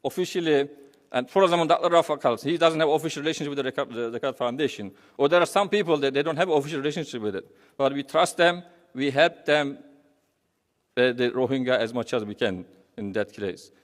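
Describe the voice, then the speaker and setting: A man from Turkey with a Turkish accent gives an informal speech as a charity representative, halting and rambling, often repeating phrases. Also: medium pitch, lively intonation. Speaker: humanitarian charity representative speaking. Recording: echoey hall with long reverb.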